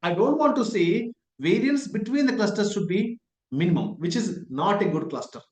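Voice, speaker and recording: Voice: mid-range voice, animated, moderate pace, a little mellow. Indian English instructor in an echoey room. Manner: keeps asking rhetorical questions; repeats key points. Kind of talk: online lecture. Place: office room. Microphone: laptop on a video call.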